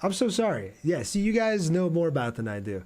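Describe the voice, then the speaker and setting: A man's voice: on the high side, big pitch swings, quick pace, darkish tone. A man livestreaming a video game, chatting casually with viewers and thinking aloud as he plays. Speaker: game streamer. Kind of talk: casual livestream gameplay commentary with chat replies.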